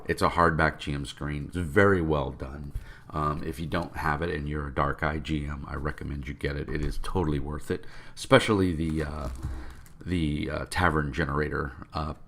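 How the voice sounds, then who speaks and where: A man with a deep, froggy voice from a cold, rambling casually to his viewers about tabletop games. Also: fairly even intonation, moderate pace, creaky, a bit bright, slight background noise. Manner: rambling, with many fillers. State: congested from a cold. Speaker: tabletop game vlogger. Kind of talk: casual rambling talk to camera.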